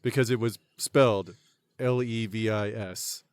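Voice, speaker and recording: Smooth-voiced man telling deadpan tall tales. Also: on the low side, measured pace, no echo. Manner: deadpan tall tales, laughs at own jokes. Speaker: podcast co-host. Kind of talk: casual comedic banter.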